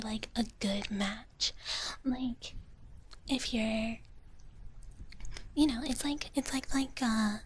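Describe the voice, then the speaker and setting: A woman ASMR streamer chatting casually in a soft, breathy whisper, close to the microphone, in a playful, rambling, intimate way. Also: fairly high pitch. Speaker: ASMR livestreamer. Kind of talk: ASMR, casual chat with viewers.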